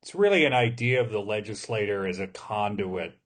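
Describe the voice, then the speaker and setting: A man hosting an interview podcast, framing long questions in a measured, discursive, conversational way. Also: on the low side, expressive.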